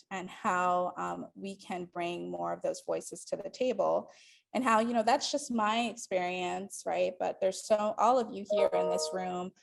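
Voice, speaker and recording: Gravelly-voiced woman lecturing thoughtfully. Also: on the low side. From Dominican Republic. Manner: thoughtful, many ums and restarts. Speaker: guest lecturer. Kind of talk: lecture to a live audience.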